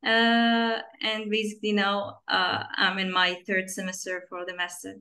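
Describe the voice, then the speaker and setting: A woman's voice: medium pitch, completely flat delivery, unhurried, bright, forceful tone. A woman in her twenties from Tunisia, a master's student introducing herself on a video call, with many uhs.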